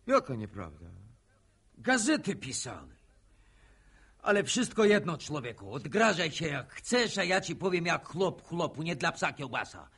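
High-voiced, cocky village schemer. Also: male voice, sing-song, a little slow, a bit bright, breathy edge, heavily acted. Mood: needling. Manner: glib, boastful, needling. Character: cocky, wheedling village schemer, male, talking his way out. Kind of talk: radio drama dialogue.

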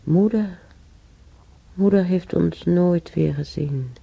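An actress playing an ailing older woman in a radio drama, reminiscing wistfully about wartime, breathy and halting, often sinking to a whisper. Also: fairly low pitch, dark tone, no room sound, highs cut off, exaggerated voice. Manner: halting, murmuring, repeating herself.

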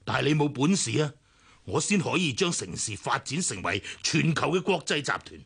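A gravelly, husky radio-drama villain with a Hong Kong Cantonese accent. He is cynical and sneering, and his pitch swings widely as he taunts. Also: very animated, brisk, fairly bright tone, full character voice. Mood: menacing, taunting. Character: cynical scheming male villain, gloating and ruthless.

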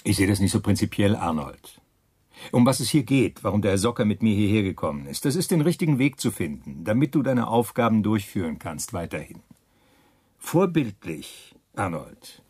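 A pushy wartime schemer in a radio drama. His voice is fairly low and raspy, with a close, dry sound. He is chummy and persuasive, with menace underneath. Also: very animated, moderate pace, darkish tone, no room sound. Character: smooth-talking, pushy male wartime schemer, chummy and veiledly threatening. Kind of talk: German radio play acting.

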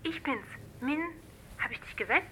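A woman playing a pushy young sister on the phone in a radio drama, brisk and persuasive. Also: sits high, pushed and bright, a little hiss or hum. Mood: urging. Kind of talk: naturalistic radio drama acting.

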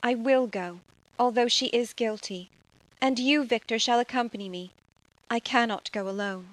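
Woman voicing a gentle, loyal young noblewoman, earnest, high voice, dry close sound. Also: moderate pace, no echo, lightly acted, rough recording. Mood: sorrowful. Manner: earnest, emotional, tender pleading. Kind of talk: audio drama acting.

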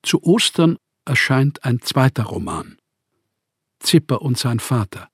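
Radio drama: a troubled novelist, husky and measured, formal letter delivery, very dry recording. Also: male voice, lowish voice, no room sound, exaggerated voice. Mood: earnest, candid. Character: troubled, weary male novelist writing to a revered friend. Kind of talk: radio play, letter read aloud.

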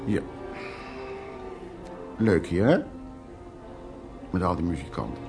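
Low-pitched, animated, creaky storytelling man. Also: slow, loud music behind the voice, exaggerated voice. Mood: playful, tipsy. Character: evasive, hard-drinking man recounting his wartime past to his girlfriend. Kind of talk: Dutch radio drama acting.

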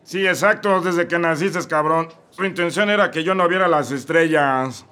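A man's voice: on the high side, moderate pace, bright, forceful tone. A man with a Mexico City accent playing a crude, drunken, pious shepherd who rants in slang. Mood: ranting. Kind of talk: comedic radio play acting.

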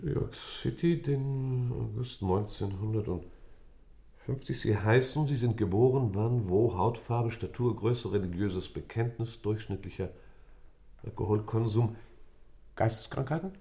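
An actor playing an aging, methodical judge in a radio play, questioning formally and deliberately, halting at times. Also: male voice, fairly low pitch, vocal fry, dark tone. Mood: weary, procedural. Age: older. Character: aging, methodical judge interrogating an accused man. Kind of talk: German radio play acting.

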